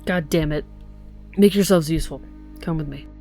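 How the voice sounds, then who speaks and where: A woman's voice: deep voice, moderate pace, dark tone, faint music behind, close to an everyday voice. A woman playing an angry, foul-mouthed police detective in an audio drama, blunt and sarcastic. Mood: irritated, commanding.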